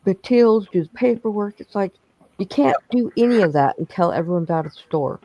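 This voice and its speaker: Gravelly man, chatty and rambling. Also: sits high, animated, fairly quick, mellow, no echo, dull audio. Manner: rambling, storytelling with restarts. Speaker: bingo stream co-host. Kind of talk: casual chat.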